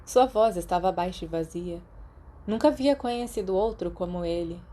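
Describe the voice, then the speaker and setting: Mellow Brazilian woman narrating a story. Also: lowish voice, moderate pace. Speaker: audiobook narrator.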